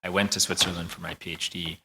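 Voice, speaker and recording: A man's voice: low voice, flat delivery, fast talker, gravelly, bright, forceful tone. An American man answering a question on a conference panel in a conversational way. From United States. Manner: conversational, with occasional restarts.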